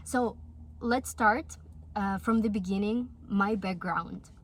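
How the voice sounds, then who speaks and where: A woman's voice: medium pitch, takes it slowly. A woman in her twenties from Russia with a Russian accent and Russian as her first language. She speaks earnestly and encouragingly in a casual talk to the camera. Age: 20s. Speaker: vlogger.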